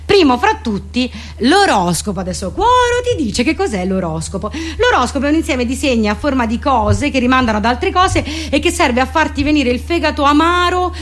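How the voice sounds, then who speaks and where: Female comedian, highish voice, mock-didactic deadpan monologue, colloquial. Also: brisk, few pauses. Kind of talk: comic monologue, mock explainer.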